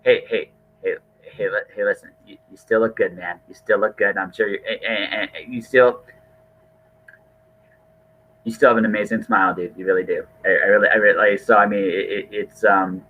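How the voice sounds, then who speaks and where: A man's voice: on the low side, fairly even intonation, moderate pace, muffled recording. A middle-aged man hosting a casual podcast conversation, earnest and rambling, often repeating his words. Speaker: podcast host.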